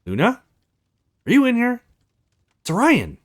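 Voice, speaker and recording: A man's voice: very high-pitched, wildly swooping pitch, a little slow, pitched above the performer's own voice, full character voice. A man voicing an earnest, protective young male hero in a visual novel game. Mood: anxious, relieved.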